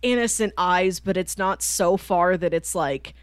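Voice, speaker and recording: A woman co-hosting a book podcast in casual conversation, telling stories in an animated, informal way. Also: on the low side, measured pace, smooth, no room sound, studio quality. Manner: animated storyteller, acting out quoted lines informally. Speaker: podcast co-host reviewing books.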